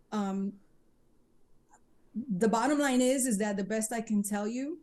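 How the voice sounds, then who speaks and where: A woman's voice: mid-range voice, darkish tone. A woman, a singer chatting as a podcast guest and retelling old exchanges in quoted dialogue. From Bronx, New York. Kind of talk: casual interview storytelling.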